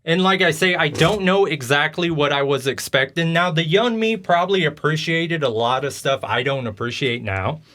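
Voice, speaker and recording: A man's voice: fairly high pitch, moderate pace, hardly any pauses, fairly bright tone. A man talking casually and with strong opinions as a podcast host discussing video games, telling stories informally.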